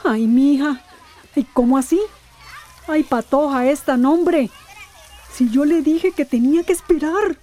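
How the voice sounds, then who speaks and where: A woman's voice: high-pitched, measured pace, long pauses, warm tone. A woman playing an anxious, devoted mother in a radio drama, speaking emotionally and colloquially with a Central American accent. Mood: alarmed. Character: anxious devoted mother searching for her missing daughter.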